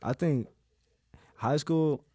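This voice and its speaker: Dark-voiced man, casual podcast talk. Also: a little high, slow pace, no echo. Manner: reflective, heavy on "like" and "you know". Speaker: podcast co-host.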